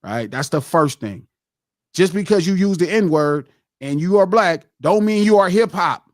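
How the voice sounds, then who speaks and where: A man with a high voice that swings widely in pitch, hammering home his point in a heated podcast debate. He talks emphatically, like a preacher, and repeats lines for weight. The sound is close and dry, with no room around it. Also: expressive, a little slow, no echo. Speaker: podcast host.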